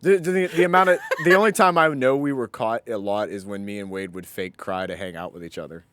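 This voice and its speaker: Self-deprecating, rambling man, low dry voice. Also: fairly quick, a bit bright, no echo. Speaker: podcast co-host. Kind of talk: casual banter and storytelling.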